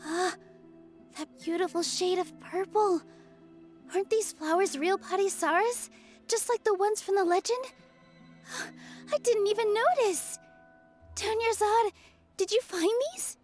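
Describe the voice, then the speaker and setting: A gentle young dancer in a video game, with a high, girlish pitch. The delivery is earnest, wide-eyed and expressive, with faint music underneath. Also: a little slow, pauses often. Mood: surprised, puzzled.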